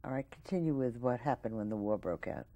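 A woman interviewer who asks short questions in a gentle way and keeps prompting with mm-hmms. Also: a bass voice, animated, fast talker. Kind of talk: oral history interview questions.

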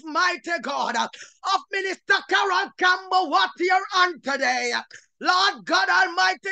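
Woman shouting a tearful, fervent prayer, very high-pitched. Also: dry recording. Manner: impassioned declarations punctuated with repeated "uh" and exclamations to God. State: tearful, crying. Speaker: minister leading prayer.

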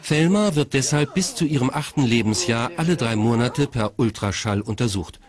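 A man's voice: medium pitch, expressive, moderate pace. A man reading scripted documentary narration in the role of narrator.

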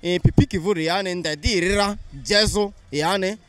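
A young man answers questions out on a town street, speaking into an interviewer's handheld mic. His voice sits fairly high and sounds dry and close. He explains his views in an earnest, steady way, mostly in a local language. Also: fairly quick, clean tone, no room sound. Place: busy town street outdoors.

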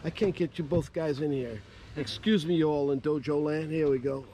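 A man's voice: fairly high pitch, normal speed, warm tone, a little hiss or hum. A man hosting a fishing vlog at a lodge, chatting and joking with guests on camera. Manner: upbeat, joking interviewer. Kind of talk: casual on-camera chat.

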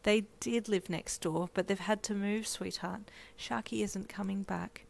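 A woman voicing a caring mother who speaks softly and soothingly. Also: mid-range voice, moderate pace, voice pushed up, natural voice. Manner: soft and soothing. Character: gentle, caring young mother.

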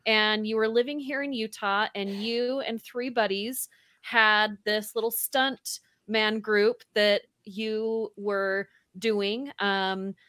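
A woman's voice: medium pitch, steady tone, takes it slowly, a bit bright, clear, resonant tone, dry recording. A woman in her thirties hosting a podcast interview over a video call, chatty and upbeat. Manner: chatty, upbeat, laughs often. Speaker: podcast host. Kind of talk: casual interview chat. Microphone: headset on a video call.

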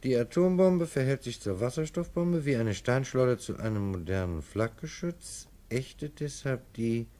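A man's voice: medium pitch, lively intonation, normal speed, hardly any pauses, dark tone, natural voice. A man reading interludes in a matter-of-fact, reportlike tone for a radio play. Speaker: narrator of interludes. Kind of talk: scripted radio narration, news-like.

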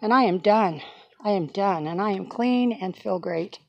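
A woman's voice: mid-range voice, normal speed, vocal fry, no room sound, dull audio. Chatty older woman in a van. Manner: chatty, folksy, apologetic asides. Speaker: van-dwelling vlogger. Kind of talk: casual how-to demonstration. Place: inside a cargo van. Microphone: handheld phone camera.

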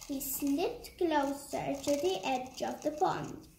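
Female storyteller for a puppet tale, high-pitched, unhurried read-aloud, some background noise. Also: creaky, darkish tone. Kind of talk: scripted children's story narration with puppets. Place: room at home. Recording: echoey room with background noise.

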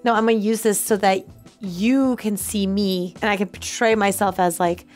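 A woman with a medium-pitched voice chats informally as a reaction co-host. She tells things in an animated, anecdotal way full of "like", with light music underneath. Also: normal speed. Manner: animated storyteller, acts out quotes, frequent "like". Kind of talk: casual anecdotal chat.

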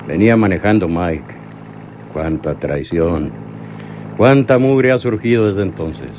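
An actor in a radionovela playing a brooding, bitter boss who gives orders. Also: male voice, sits low. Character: brooding, bitter male boss riding by car. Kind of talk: old radionovela acting. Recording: radio drama with loud engine noise.

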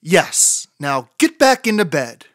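A woman voicing a patient, tired father rooster in a storybook, measured and gently chiding. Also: sits low, very animated, no room sound, studio quality, full character voice. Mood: mildly exasperated.

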